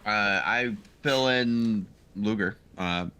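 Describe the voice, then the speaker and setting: A man playing a tabletop roleplaying game, speaking casually in a tentative, halting way. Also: lowish voice, pushed and bright, dull audio. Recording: clear background noise.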